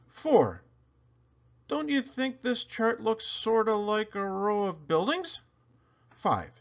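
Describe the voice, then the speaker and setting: A man reads a children's story aloud with wildly swooping, expressive intonation. He takes his time and stresses questions and lists theatrically. The recording sounds a little dull and lo-fi. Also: on the high side. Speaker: storyteller reading a picture book aloud. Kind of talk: animated children's read-aloud narration.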